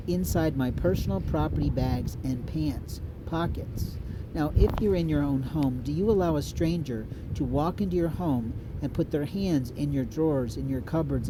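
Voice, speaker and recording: An older man from the United States, a podcaster delivering a blunt, profane, repetitive rant. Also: on the high side. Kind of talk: angry ranting monologue. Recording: noisy outdoor street recording.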